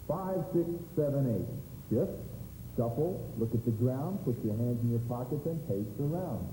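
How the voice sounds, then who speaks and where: A man's voice: medium pitch, animated, normal speed, mellow, a little hiss or hum, close to an everyday voice. A man playing a brash, teasing stand-up comedian who gives a scripted lesson to an audience in a punchy, bossy showman's style. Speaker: comedian presenting a training film. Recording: echoey hall, music behind.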